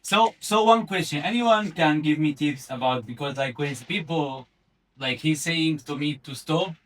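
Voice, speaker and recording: Syrian man, halting. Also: fairly high pitch. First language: Arabic. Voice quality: halting, searching for words. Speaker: group participant asking a question. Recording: voice far from the mic.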